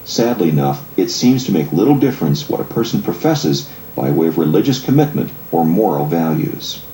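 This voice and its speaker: A man reading scripted audiobook narration in a measured, explanatory way. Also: sits low, very animated, mellow. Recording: echoey room, faint background noise.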